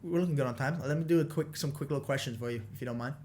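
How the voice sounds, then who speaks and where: A man's voice: mid-range voice, rapid-fire, a little mellow. A young man hosting a podcast in a casual, encouraging, slangy way. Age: young adult. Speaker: podcast host. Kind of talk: casual chat. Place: small indoor podcast studio, seated at a desk. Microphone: desk boom-arm studio mic with pop filter.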